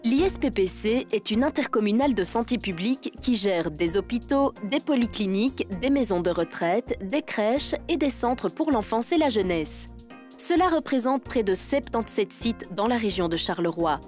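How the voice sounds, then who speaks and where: A woman's voice: a little high, animated, moderate pace, a little mellow. A woman reading scripted narration for a corporate video. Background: background music.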